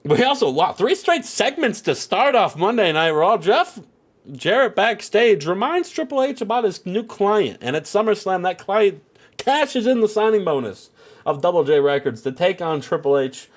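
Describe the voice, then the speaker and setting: A man's voice: high voice, very animated, normal speed. A man with a stuffed-up nose giving casual, rambling commentary on a wrestling game, full of filler phrases. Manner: rambling, heavy on "you know" and "at the end of the day".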